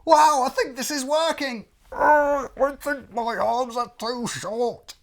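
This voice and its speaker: Man voicing an eager, high-pitched young crocodile. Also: slow pace. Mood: straining. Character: eager, innocent young crocodile, sweet and clueless.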